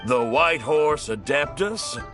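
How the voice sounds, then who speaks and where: A man playing a theatrical, boastful and excitable young storyteller in a video game, dramatic and self-important. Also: medium pitch, slow, over music. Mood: eager.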